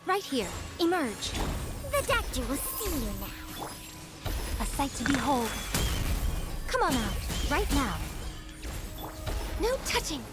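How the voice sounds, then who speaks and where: A confident young game heroine with a high, swooping voice. She delivers short, theatrical battle calls at an unhurried pace, under loud music. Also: big pitch swings, heavily acted. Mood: confident, commanding. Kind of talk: video game battle lines. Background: loud battle music and game sounds.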